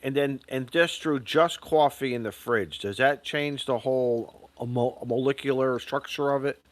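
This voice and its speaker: A man's voice: medium pitch, unhurried, dry recording. A man teasing his friends playfully while chatting on a livestream over a video call. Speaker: livestream panelist. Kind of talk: casual livestream chat.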